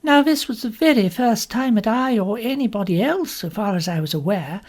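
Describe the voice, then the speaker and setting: A man voicing a prim, gossipy spinster who narrates an audiobook story in fussy, measured asides. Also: sits high, animated. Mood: prim, confiding. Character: prim, gossipy English village spinster telling her story. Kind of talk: first-person audiobook narration.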